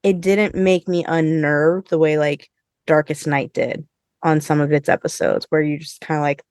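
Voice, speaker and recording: A woman hosting a podcast, chatting casually with co-hosts in an informal, expressive way with some swearing. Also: sits low, normal speed, no echo, clean studio sound. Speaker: podcast host. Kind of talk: casual review chat with co-hosts.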